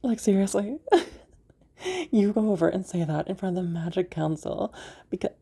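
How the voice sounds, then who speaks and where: A woman voicing a playful, teasing magical woman in a roleplay audio drama, amused and giggly with hesitant pauses. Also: medium pitch, mellow, breathy edge, voice pushed up. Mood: mocking, amused.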